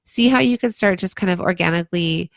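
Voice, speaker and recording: A hoarse woman consultant giving casual advice on a livestream, chatty, with lots of "like" and "um". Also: fairly low pitch, brisk, no room sound. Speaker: brand consultant.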